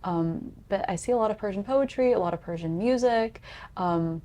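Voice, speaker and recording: A woman's voice: mid-range voice, normal speed, mellow. A young woman, a student guest on a discussion show, speaking in an upbeat, easy way. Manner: upbeat, laughs easily. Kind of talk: casual discussion.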